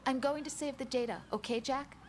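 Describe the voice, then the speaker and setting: An actress playing a caring young woman who speaks gently and reassuringly. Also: high voice, brisk, a little hiss or hum, natural voice. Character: caring young woman supporting over radio.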